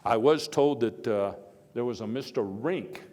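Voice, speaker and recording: An actor playing a vigorous, patriotic elder statesman giving a folksy, emphatic, halting speech in a live historical reenactment. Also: mid-range voice, a little mellow, natural voice. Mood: wry storytelling. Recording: echoey live hall, faint background noise.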